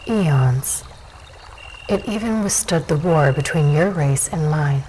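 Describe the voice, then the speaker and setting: Casting: actress as dusk elf warrior woman, lowish voice, unhurried and sure of herself, audio drama over ambient noise. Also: expressive, darkish tone, low-quality recording. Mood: composed, hospitable. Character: self-assured dusk elf warrior woman, hospitable, probing. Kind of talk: fantasy audio drama dialogue.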